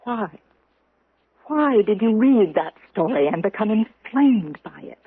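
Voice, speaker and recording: An actress playing a grave, deliberate woman who presses an accusation, in old-time radio drama style. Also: mid-range voice, animated, slightly rough. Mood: accusing. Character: grave, accusing woman confronting a killer judge. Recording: roomy, muffled old radio recording.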